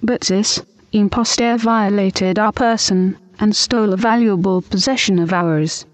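A woman's voice: mid-range voice, measured pace. A synthetic computer voice playing a prim, easily offended French noblewoman with a formal, stilted delivery. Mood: aggrieved. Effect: computer text-to-speech voice.

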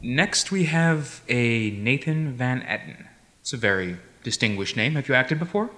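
A man's voice: medium pitch, animated, normal speed, background noise, natural voice. A man playing a long-suffering theatre director, dry, wry and articulate, in a comedy sketch. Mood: dry, patient. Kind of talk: audio comedy sketch acting.

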